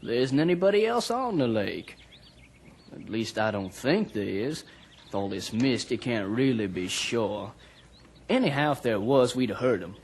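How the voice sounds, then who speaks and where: A man's voice: medium pitch, expressive, normal speed, close to an everyday voice. An actor playing a carefree, dismissive fisherman in a vintage radio drama, with a breezy, folksy manner. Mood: easygoing. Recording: old radio recording, faint noise.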